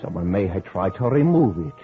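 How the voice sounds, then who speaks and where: A thin, tinny old radio broadcast with faint music. a blunt old country doctor, sensible and skeptical, with a lowish voice that swings widely in pitch as the horror drama unfolds. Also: male voice, very animated, fairly quick. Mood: brisk, clinical. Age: older.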